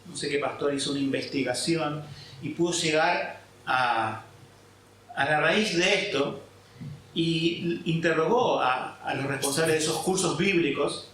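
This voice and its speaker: A man's voice: on the high side, a bit bright, slight background noise. A man from Argentina, a pastor preaching a sermon and explaining scripture, often checking in with his listeners. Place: church hall. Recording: echoey hall, mic at a distance.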